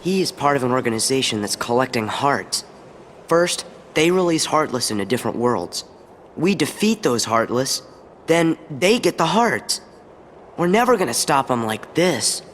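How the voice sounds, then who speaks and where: A man's voice: mid-range voice, big pitch swings, moderate pace, a bit bright. An actor playing an earnest, determined teenage boy hero in a video game, eager and plain-spoken. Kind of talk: video game cutscene acting. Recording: reverberant, with ambient noise behind.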